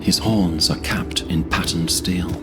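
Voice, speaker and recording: A man narrating scripted fantasy as a storyteller in a solemn, measured manner. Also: deep voice, steady tone, over music.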